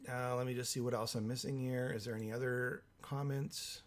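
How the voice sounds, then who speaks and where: Host, male, hoarse from a cold, reading viewer comments on a live stream, halting delivery, mid pitch. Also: flat delivery. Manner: halting, thinking aloud, many fillers. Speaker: livestream host.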